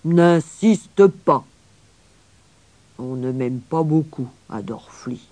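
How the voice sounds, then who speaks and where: A woman voicing a gruff, grumbling old mountain grandfather in a children's storybook, stern and emphatic. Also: low-pitched, lively intonation, drawn-out words, dark tone, exaggerated voice. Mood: stern, grumpy. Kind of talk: children's storybook character acting.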